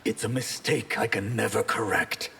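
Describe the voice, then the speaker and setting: An actor playing a proud, grim, disgraced warrior of a fantasy empire in a fighting game, speaking in terse, stern, formal lines. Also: male voice, lowish voice, fairly even intonation, normal speed, gravelly, full character voice. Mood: grim, defiant. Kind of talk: video game fighter intro dialogue. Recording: echoing hall, loud background noise.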